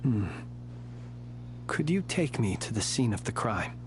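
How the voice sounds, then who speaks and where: A man's voice: mid-range voice, very animated, a little slow. An actor playing a composed, courteous, mysterious soldierly man in a video game, speaking formally and with measure, over a noisy hum. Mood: brooding. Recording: clear hum and background noise.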